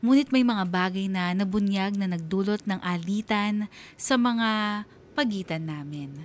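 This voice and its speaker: A woman's voice: mid-range voice, unhurried, a little hiss or hum, lightly acted. A woman playing an earnest young woman who narrates her own story in a scripted radio drama. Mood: reflective, troubled. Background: faint music.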